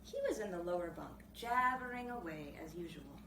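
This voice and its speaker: A woman reading a children's story aloud in an expressive, animated storybook style. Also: lowish voice, normal speed. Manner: expressive, acts out the characters' dialogue. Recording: roomy, distant mic, clearly noisy background.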